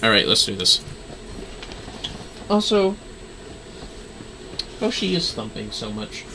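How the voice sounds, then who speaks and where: A man's voice: lowish voice, sing-song, takes it slowly. A man livestreaming a video game, chatting casually and thinking aloud in muttered asides to himself. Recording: faint background noise.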